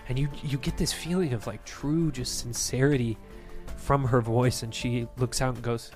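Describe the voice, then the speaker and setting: A young man narrating a tabletop game as game master, telling the story with animated, laughing delivery. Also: mid-range voice, moderate pace, faint music behind.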